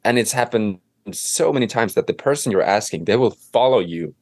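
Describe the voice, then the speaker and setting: A man's voice: lowish voice, fairly quick, no room sound. A man with a Swedish accent, a native Swedish speaker, talking casually and loosely as an interview guest. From Sweden. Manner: relaxed, loose, leans on fillers. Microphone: remote call.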